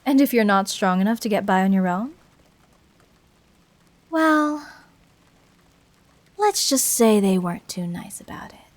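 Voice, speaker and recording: A woman playing a bitter, protective fallen hero turned villain in an emotional confessional audio roleplay, speaking deliberately with dramatic pauses. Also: mid-range voice, lively intonation, natural voice. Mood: bitter, restrained. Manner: deliberate, dramatic, pausing between short phrases.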